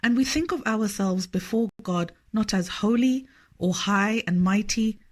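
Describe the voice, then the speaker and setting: A woman reading a scripted scripture study aloud in a measured, formal manner. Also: mid-range voice. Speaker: reader of a religious study text.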